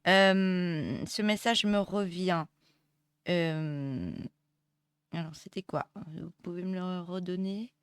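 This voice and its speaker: A woman with a cold and a hoarse voice, giving a casual tarot reading with many hesitations and restarts. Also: on the low side, slow pace, dry recording. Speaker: tarot reader. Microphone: close mic. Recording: dry close mic.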